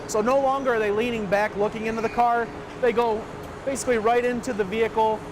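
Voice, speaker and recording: A man's voice: extremely high pitch, steady tone, fast. A middle-aged man explaining a plant process informally in an interview on the factory floor. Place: large indoor car assembly plant. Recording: factory machinery noise around voice.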